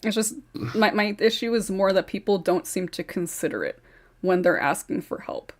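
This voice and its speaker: A woman's voice: mid-range voice, expressive, moderate pace. Blunt, emphatic woman. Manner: blunt, emphatic, repeats points. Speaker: podcast co-host. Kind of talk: casual group chat on a call.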